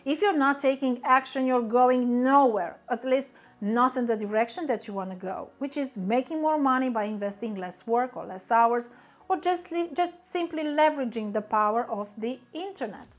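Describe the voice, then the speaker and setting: Woman, somewhat high voice, earnest motivational coach speaking straight to camera. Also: moderate pace. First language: Romanian. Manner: earnest, direct and encouraging. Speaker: business coach.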